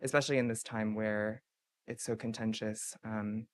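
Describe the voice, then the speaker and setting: A man from the audience asking an author a question at a bookstore event, speaking hesitantly with frequent "um" pauses. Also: on the low side, steady tone.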